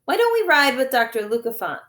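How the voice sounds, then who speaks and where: A woman's voice: high-pitched, sing-song, brisk, fairly bright tone, roomy sound, pitched above the performer's own voice. A woman voicing an earnest, sceptical young girl in a storybook, careful and insistent.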